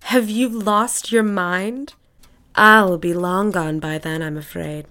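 A woman's voice: on the low side, steady tone, takes it slowly, bright, forceful tone, clean tone. A woman playing a bitter, forgetful bedridden housewife in an audio drama, sardonic and imperious, with a drawling delivery. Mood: scornful, weary.

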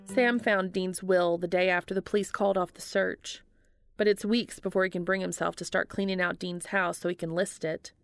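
Woman reading a fanfic audiobook, voice a bit low, no room sound at all. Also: fairly even intonation, moderate pace, clear, resonant tone, dry recording, soft background music. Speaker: fan fiction audiobook reader. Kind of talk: scripted story narration.

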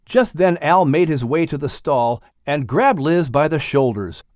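A man's voice: a little high, expressive, measured pace, darkish tone, dry recording, clean studio sound. A man reading a children's audiobook as a dramatic storyteller. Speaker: audiobook narrator.

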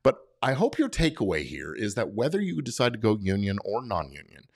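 Man, deep voice, podcast monologue, plain-spoken and conversational, dry recording without room sound. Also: wildly swooping pitch, fairly quick, no echo. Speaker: podcast host and lawyer. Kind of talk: informal explanatory podcast monologue.

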